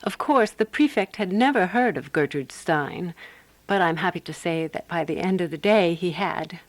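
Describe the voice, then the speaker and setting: A woman actor playing a composed, wry woman who narrates a radio drama in a measured, dry manner. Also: fairly low pitch, dry recording, natural voice.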